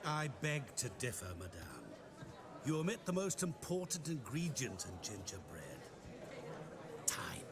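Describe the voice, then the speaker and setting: An actor playing a sly, all-knowing mysterious male stranger in a game cutscene, talking in a smooth, theatrical, mocking way. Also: a little high, big pitch swings, measured pace, crowd noise. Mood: teasing lecture. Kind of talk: video game cutscene acting.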